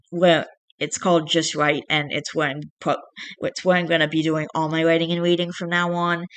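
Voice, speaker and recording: Young male channel host, raspy and dry-throated, bright and monotone, rambling chatter. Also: a little high, no echo. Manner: rambling, self-correcting chat. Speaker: channel host reading fiction. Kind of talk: storybook reading, then casual vlog chat.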